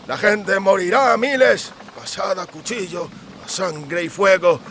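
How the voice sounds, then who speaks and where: A man voicing a doom-laden merchant of amulets in an audiobook, declaiming ominous prophecies in slow, drawn-out phrases. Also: high voice, bright, forceful tone, slight background noise, voice pushed up. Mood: ominous, prophetic. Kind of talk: audiobook character acting.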